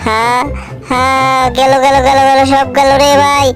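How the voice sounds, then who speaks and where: A woman dubbing a bossy, easily panicked cartoon homeowner who scolds and wails loudly. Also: high-pitched, level tone, moderate pace, faint music behind, lightly acted. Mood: lamenting. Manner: scolding, wailing exclamations. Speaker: fan dub voice artist. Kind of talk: homemade cartoon dub.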